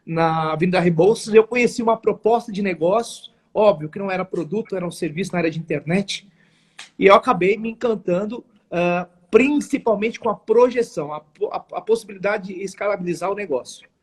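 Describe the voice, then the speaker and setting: A man in his forties with a São Paulo accent, speaking as a guest on a live interview. His voice sits high for a man and has a husky edge. He tells his story earnestly and emphatically, in formal phrasing with many restarts. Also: fairly quick. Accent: São Paulo Portuguese. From São Paulo, Brazil. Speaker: guest, network marketing entrepreneur.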